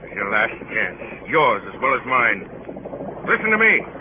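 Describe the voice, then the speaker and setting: An actor playing a huge, pompous rogue agitator posing as a missionary, theatrical and oratorical, in an old-time radio drama. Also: male voice, medium pitch, sing-song, unhurried. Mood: urgent, menacing. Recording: scratchy, noisy, tinny old radio broadcast.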